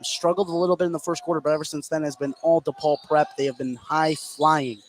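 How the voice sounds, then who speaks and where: A male announcer with a fairly high voice calls a live basketball game on the radio. He follows the action energetically in short, clipped phrases. Clear gym noise sits behind him. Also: flat delivery, fast talker. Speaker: play-by-play sports announcer. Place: indoor gymnasium at a live game.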